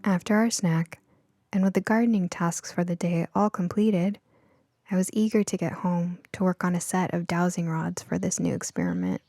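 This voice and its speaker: A woman reading scripted first-person story narration for an audiobook in an expressive storytelling manner. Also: fairly low pitch, moderate pace, dry recording, faint music behind.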